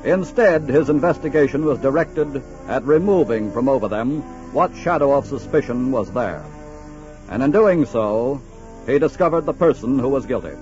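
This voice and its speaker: Man narrating old radio crime show, solemn and measured, muffled sound, music behind. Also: medium pitch, expressive. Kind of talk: formal scripted 1940s radio narration. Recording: muffled 1940s radio broadcast. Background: dramatic music.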